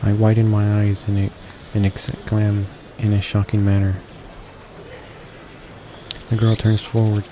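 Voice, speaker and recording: A man reads a visual novel aloud in a low, very flat voice. He narrates haltingly, tripping on words and correcting himself as a game host. A steady noise sits clearly behind him in a homemade recording. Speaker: video game walkthrough host reading aloud. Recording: noisy home recording with constant background hiss.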